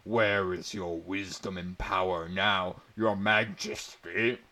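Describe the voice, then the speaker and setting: A man's voice: sits low, steady tone, slow pace, bright, forceful tone, heavily acted. A man playing a defiant, scornful barbarian swordsman. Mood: taunting. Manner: indignant, growling declamation.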